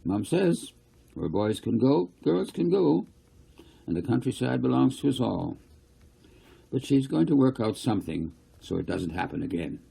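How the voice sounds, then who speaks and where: A man's voice: medium pitch, sing-song, normal speed, gravelly, warm tone, husky, exaggerated voice, poor audio. A man voicing an earnest, polite young boy in a storybook reading, giving short and simple answers. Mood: polite, proud. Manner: brief, earnest replies.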